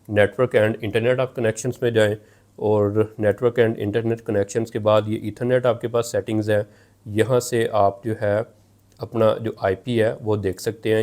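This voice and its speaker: A man's voice: on the low side, darkish tone. A man narrating a hands-on technical tutorial, explaining and rephrasing each step as he goes. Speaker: tech tutorial presenter.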